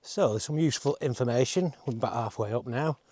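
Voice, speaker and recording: Mellow-voiced man vlogging on a hike. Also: mid-range voice, quick pace, no echo. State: exerted from an uphill hike. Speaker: travel vlogger and host. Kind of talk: casual walk-and-talk hiking commentary. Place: outdoors on a forest hiking trail.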